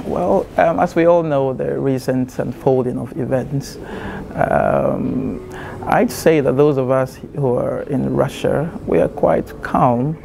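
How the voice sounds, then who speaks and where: Man, gravelly voice, hesitant then measured, noisy background. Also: medium pitch. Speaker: interviewee, student in Russia. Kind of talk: street interview.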